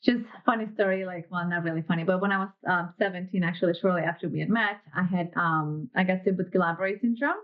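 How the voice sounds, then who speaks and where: A woman in her thirties from Mexico, a podcast guest telling her story in a quick, friendly way. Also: on the low side, highs cut off. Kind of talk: casual interview chat.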